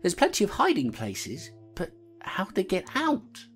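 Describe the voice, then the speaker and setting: A woman playing a low-voiced constable detective. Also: wildly swooping pitch, unhurried, husky, faint music behind, exaggerated voice. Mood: matter-of-fact. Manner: matter-of-fact storyteller. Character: rattled female constable narrating her case. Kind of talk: audio drama acting.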